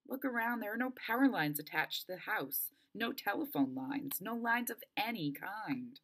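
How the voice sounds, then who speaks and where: A woman's voice: medium pitch, lively intonation, moderate pace, a bit bright, voice pushed up. A woman reading a storybook as a cautious, practical teenage boy, earnest and reassuring.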